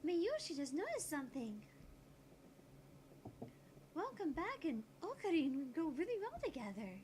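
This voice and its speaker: A woman's voice: very high-pitched, big pitch swings, moderate pace, a little mellow, a little hiss or hum, pitched above the performer's own voice. A woman voicing a sweet, cheerful young maid girl who talks about herself by name.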